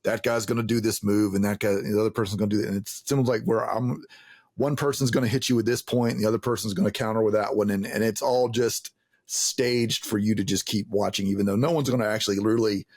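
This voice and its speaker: A man speaks in a lowish, slightly rough voice with a very dry sound. His delivery is casual and conversational, circling and restarting as he explains, with occasional swearing. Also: fairly even intonation, fast talker, a little mellow, no room sound.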